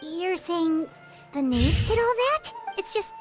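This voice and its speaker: Game sidekick: tiny childlike fairy, earnest, high and animated, clear music behind. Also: female voice, takes it slowly, heavily acted. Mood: puzzled. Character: small childlike floating fairy companion, concerned and earnest. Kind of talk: video game voice acting.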